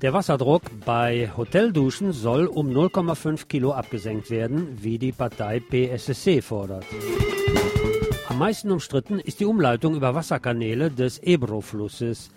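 A man's voice: mid-range voice, expressive, moderate pace, loud music behind the voice. A man presenting a scripted radio news report, adding the odd personal aside.

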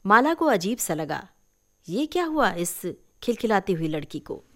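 A woman's voice: medium pitch, very animated, moderate pace. Woman narrating a literary story. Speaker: story reader.

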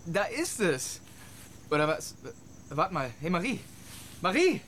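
A man's voice: high-pitched, sing-song, slow, heavy background noise, heavily acted. An actor playing a young man lost in the marshes who talks on the phone in agitated slang, in a radio drama.

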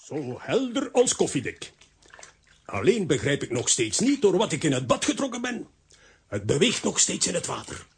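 An actor playing an excitable, muddle-headed, blustering man who exclaims and stammers in a children's radio play. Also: on the high side, normal speed, vocal fry, slight background noise, exaggerated voice. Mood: panicked.

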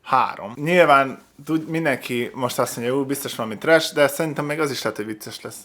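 A man's voice: medium pitch, background noise. A man chatting casually as host, commenting on a video with sarcasm and crude slang. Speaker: host of a video reaction show.

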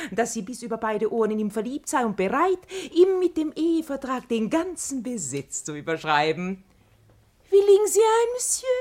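An actress playing a shrewd, worldly matchmaker woman in a radio comedy, theatrical and persuasive. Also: very high voice. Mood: mock-grand, coaxing. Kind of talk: classic German radio comedy acting.

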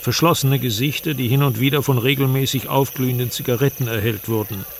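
A male actor as a sober traveller recounting a journey, with a mid-pitched voice. The radio drama narration is measured and descriptive, with faint ambient noise of insects behind it. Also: steady tone, vocal fry, natural voice. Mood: sober, sombre. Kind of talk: scripted first-person radio drama narration.

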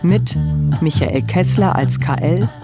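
A woman announcer reading scripted radio credits in a formal, measured manner. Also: extremely low pitch, wildly swooping pitch, gravelly. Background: prominent plucked guitar music.

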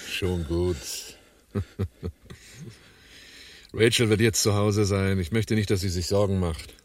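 A cool-headed partner in crime in a radio play. His voice is on the low side. He talks matter-of-factly, with dry teasing and calm control. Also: slow pace. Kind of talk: German radio play dialogue.

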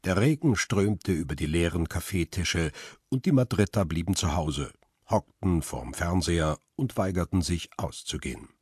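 An actor playing a lonely, melancholy middle-aged photographer who narrates a radio drama in a measured, reflective way. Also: male voice, sits low, animated, breathy edge, no echo, studio quality, heavily acted. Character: world-weary male photographer, lonely widower, melancholy. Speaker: first-person narrator and lead.

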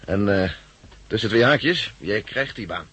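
An actor playing a brisk, authoritative head of research with a probing, businesslike manner in a 1960s Dutch radio drama. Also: male voice, lowish voice, expressive, fairly bright tone, husky, dry recording, full character voice. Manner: probing, businesslike, clipped questioning.

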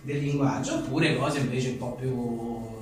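A man's voice: medium pitch, fairly even intonation, measured pace. A man being interviewed as an author, telling informal, digressive anecdotes in a wry way. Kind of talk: informal book talk, rambling anecdotes. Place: echoing indoor venue at a live book presentation. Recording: very echoey room, distant mic, faint noise.

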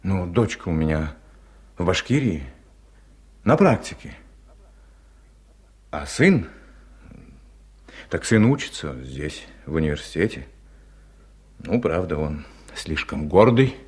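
A lonely, ailing villager in middle age, with a low and husky voice. His delivery swings expressively while staying humble and hesitant, in the manner of an old radio drama. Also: big pitch swings, slow pace, pauses often, full character voice. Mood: sad, confiding. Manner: hesitant, confiding. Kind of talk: 1970s radio drama acting.

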